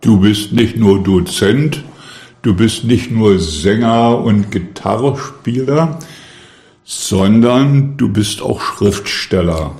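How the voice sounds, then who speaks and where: A man's voice: lowish voice, slow, darkish tone. A man asking questions as an interviewer, recorded with a roomy echo. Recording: roomy, echoing sound.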